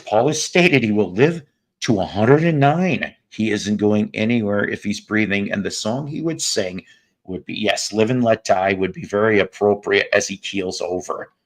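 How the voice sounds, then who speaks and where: A man with a raspy, hoarse voice hosting a music podcast, joking and rambling through tangents in casual chat. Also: fairly low pitch, moderate pace. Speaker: podcast host.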